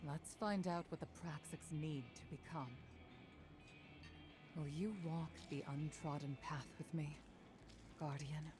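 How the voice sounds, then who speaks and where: A woman playing a resolute, serious warrior mentor in a video game, speaking in a formal, deliberate, earnest way. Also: low voice, steady tone. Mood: solemn, hopeful. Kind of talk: dramatic video game dialogue. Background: prominent music.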